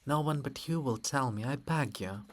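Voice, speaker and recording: A man's voice: mid-range voice, moderate pace, close to an everyday voice. A man voicing a proud, insistent princess in a dramatic reading, imploring and solemn. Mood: begging. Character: proud, pleading princess, insistent and braced for pain.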